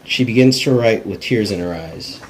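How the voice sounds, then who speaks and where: A man with a fairly low voice narrates a script's stage directions at a table read. It is an amateur recording with faint noise behind him. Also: normal speed, poor audio. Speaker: screenwriter reading stage directions.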